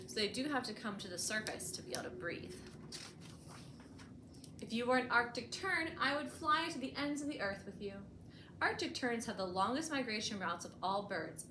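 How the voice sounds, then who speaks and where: A woman reading a picture book aloud for children as a storytime host, expressively and with deliberate emphasis, adding short explanatory asides. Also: fairly high pitch, pushed and bright. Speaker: librarian hosting a bedtime storytime. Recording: echoey room with clear background noise.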